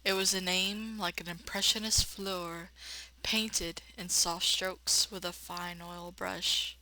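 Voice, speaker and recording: Female let's player, bright tone, expressive narration with playful, blunt running commentary. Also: lowish voice, slow, low-quality recording. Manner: expressive narration broken by blunt sassy asides. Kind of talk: reading a visual novel aloud with playful running commentary.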